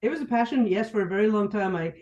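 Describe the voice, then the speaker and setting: A woman's voice: mid-range voice, lively intonation, racing, darkish tone, echoey room. Slightly dull lo-fi audio, middle-aged woman telling stories on a podcast, casual. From New Hampshire, United States. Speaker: podcast guest. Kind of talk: casual chat, personal anecdotes.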